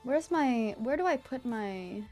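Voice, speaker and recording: A woman's voice: a little high, expressive, a little slow, warm tone, soft background music. A woman livestreamer chatting casually with her viewers in a bubbly, chatty manner. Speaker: livestreamer, virtual streamer host.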